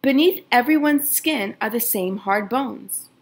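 A woman teacher reading a picture book aloud to children, gently addressing her young listeners and asking them questions. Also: a little slow. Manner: expressive, lively pitch swings on questions.

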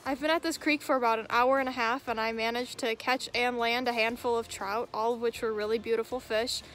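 A woman's voice: a little high, fairly even intonation, a little fast, fairly bright tone. A young woman chatting casually outdoors, as a fishing vlogger talking to a handheld camera. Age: young adult. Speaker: vlogger and angler. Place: outdoors at a creek bank. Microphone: handheld camera at arm's length.

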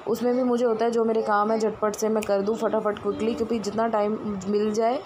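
Hoarse woman in her thirties, rambling housewife vlogger, steady narrow pitch on the high side, phone mic in a kitchen with a noisy motor behind. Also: fairly quick. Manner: rambling, chatty, lots of fillers. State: hoarse with a cold and cough. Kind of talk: casual daily vlog chat. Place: home kitchen, indoors. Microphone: phone camera, selfie then propped up across the room. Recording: noisy phone recording, pump motor running.

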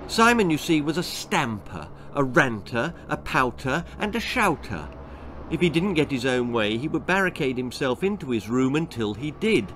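A man's voice: mid-range voice, very animated, moderate pace, a bit bright, a little hiss or hum. A man in his sixties reading a children's horror-comedy story aloud as its author, theatrical and relishing the grisly detail. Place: small indoor book-lined room. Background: music.

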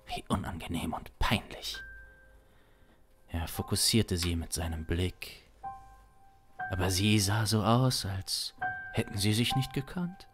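A young man narrates an improvised audio drama in a very deep voice. He goes very slowly and broodingly, building suspense, with instrumental music clearly behind him. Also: fairly bright tone. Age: young adult. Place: small home studio, indoors. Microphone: close studio microphone.

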